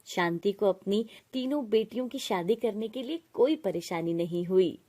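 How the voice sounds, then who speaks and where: A woman's voice: medium pitch, expressive, normal speed, dark tone. A woman reading a scripted moral story as its narrator.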